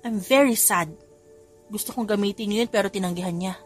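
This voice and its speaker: A woman's voice: mid-range voice, a little fast, soft background music, natural voice. A woman narrator voicing Elizabeth, a bold and emotional young woman who exclaims and gloats in an audiobook story. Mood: sulky. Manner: gloating then tearful, exclaiming.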